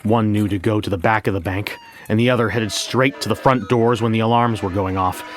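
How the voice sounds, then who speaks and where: A man narrating a scripted first-person story as a bank manager, in a measured, reflective way with deliberate pauses. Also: lowish voice, steady tone, a little hiss or hum. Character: bank manager recounting a memory heist.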